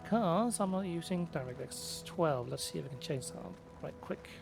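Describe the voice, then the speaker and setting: A man's voice: on the high side, animated, moderate pace, gravelly. A man giving casual, understated Let's Play commentary in offhand muttered remarks. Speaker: gaming video creator. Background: game music.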